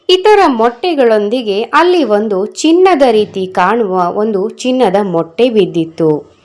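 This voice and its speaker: Woman, children's story narrator, unhurried pace, very animated intonation, scripted delivery. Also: a little high.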